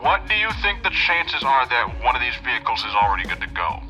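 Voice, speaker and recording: A man's voice: mid-range voice, big pitch swings, a little fast, pushed and bright, lo-fi sound, faint music behind. A man playing an anxious, watchful teammate in a sci-fi audio drama, speaking in tense, urgent warnings and questions. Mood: nervous, cautious.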